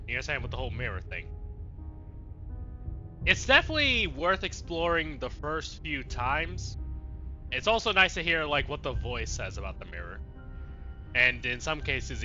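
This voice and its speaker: Very bright, lively male streamer on lo-fi mic. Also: mid-range voice. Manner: irreverent, sweary, chatting to himself. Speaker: let's play streamer. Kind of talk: casual game commentary. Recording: dull lo-fi mic, faint music. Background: faint game music.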